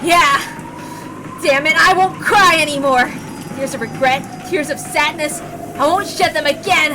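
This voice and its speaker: A woman voicing a hot-headed young man who is anguished and forceful, in emphatic outbursts, for a visual novel read-aloud. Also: sits high, a little slow, noisy background. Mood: furious, defiant.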